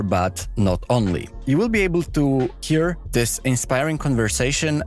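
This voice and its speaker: A man hosting a podcast, speaking English as a with as his first, introducing and asking questions in earnest, slightly formal phrasing with hesitations. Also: mid-range voice. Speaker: podcast host. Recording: faint music underneath.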